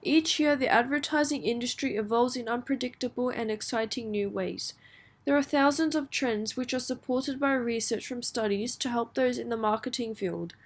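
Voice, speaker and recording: A woman with a fairly high voice reads prepared text aloud. She delivers it as a model answer for exam practice, following the script sentence by sentence. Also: normal speed.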